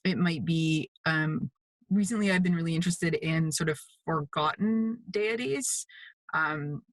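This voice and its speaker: A woman's voice: deep voice, a little slow, no echo. A woman from Canada with a Canadian accent, chatting casually as an interview guest. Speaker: guest, mixed media artist.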